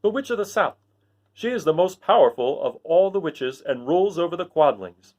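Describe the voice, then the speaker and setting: A man's voice: fairly high pitch, animated, normal speed, darkish tone, voice pushed up, natural voice. A man voicing a timid, cautious soldier in a children's storybook, giving information in a careful, emphatic way. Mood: informative. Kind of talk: children's storybook read aloud.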